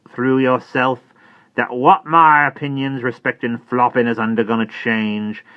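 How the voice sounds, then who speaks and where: A trembling manservant, as a male narrator puts him on holds forth in a slow, pompous, preachy drawl. His voice sits well above the reader's own and comes out loud and earnest. The recording is muffled and lo-fi. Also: mid-range voice, pitched above the performer's own voice, close to an everyday voice. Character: solemn trembling Cockney manservant, uneducated.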